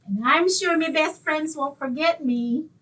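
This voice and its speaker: A woman's voice: sits high, a little slow, fairly bright tone, recorded from a distance, poor audio. A woman playing a vain, gabby, prickly landlady in old-time radio comedy style. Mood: smug, chummy. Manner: haughty, indignant, effusive.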